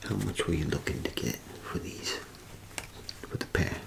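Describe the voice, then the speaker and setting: A man playing an unhurried pawn shop owner in an ASMR role play, muttering haltingly and methodically to himself in a soft, breathy whisper. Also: background noise. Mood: careful, approving. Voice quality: soft, breathy whisper with low creaky murmur. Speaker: ASMR performer.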